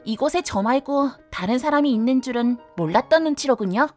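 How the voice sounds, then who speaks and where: A woman's voice: high-pitched, expressive, fairly quick, darkish tone, faint music behind. A woman playing a welcoming, eager youthful head librarian of a magical library, speaking politely and sweetly in an audio drama. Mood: gentle, knowing. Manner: polite, sweet, theatrical formal speech.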